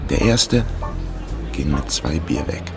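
A man's voice: a bass voice, lively intonation, slow, music in the background, heavily acted. A man playing a wry amateur detective who narrates his case in a dry, laconic way in a radio crime drama. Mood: agitated.

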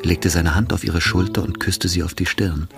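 A man's voice: low voice, steady tone, normal speed, vocal fry. A man reading scripted literary narration for a radio drama. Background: music.

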